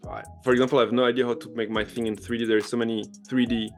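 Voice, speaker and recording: A man's voice: mid-range voice, level tone, very fast, soft background music. A man in his thirties with a French accent, chatting eagerly as a podcast guest, often laughing and restarting his sentences. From France. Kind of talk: casual interview chat.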